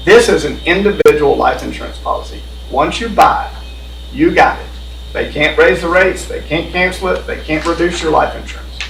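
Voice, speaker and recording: A man's voice: a little high, creaky, fairly bright tone, slight background noise. Folksy man explaining benefits. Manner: folksy, explanatory, repeats himself. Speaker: insurance benefits presenter. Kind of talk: explaining at a committee meeting. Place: board meeting room. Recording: echoey room, mic at a distance.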